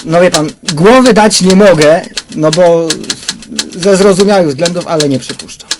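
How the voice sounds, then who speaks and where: An actor playing a hesitant, deferential bank director in a crime radio drama. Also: male voice, sits high, moderate pace, noisy background, natural voice. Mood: uneasy, deferential. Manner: hesitant, evasive.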